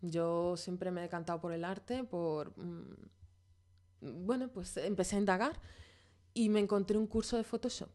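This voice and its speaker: A woman's voice: fairly low pitch, fairly even intonation, moderate pace, a little mellow. A woman from Venezuela, a podcast guest, chatting casually and hesitantly with many fillers. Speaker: podcast guest, graphic designer. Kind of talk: casual interview chat.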